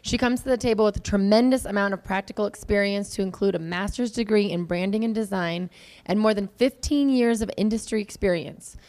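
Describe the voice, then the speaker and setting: A woman presents at a live event. Her voice has a middling pitch for a woman. She delivers a prepared speech to introduce a guest speaker. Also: a little mellow.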